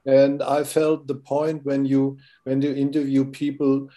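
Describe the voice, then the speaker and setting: Slow, halting German-accented older man. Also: medium pitch, monotone, warm tone. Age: 60s. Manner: halting, searching for words, many fillers. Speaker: podcast guest. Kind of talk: interview conversation.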